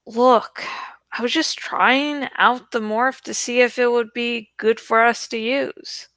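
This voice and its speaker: Teen boy leader played by a female reader, stubborn yet sheepish, storybook dialogue, high clear voice, unhurried. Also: fairly even intonation, sharp tone, no room sound, exaggerated voice. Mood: defensive.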